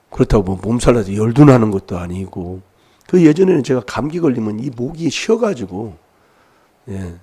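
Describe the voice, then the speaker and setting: A man's voice: on the low side, mellow, husky. A man preaching an emphatic sermon in a church, prodding his listeners with rhetorical questions while he has a cold. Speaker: pastor.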